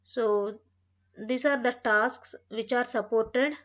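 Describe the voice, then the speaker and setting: Woman, software trainer, high voice, slow deliberate pace, explanatory and repetitive, dull recording. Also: fairly even intonation. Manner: repeats phrases, explanatory. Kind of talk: instructional screen tutorial, reading out slide points and restating them.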